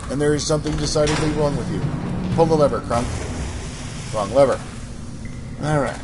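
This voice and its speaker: A man's voice: a little high, a little fast, heavy background noise. A man hosting a let's play, reading in-game journals aloud and making sarcastic asides. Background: music.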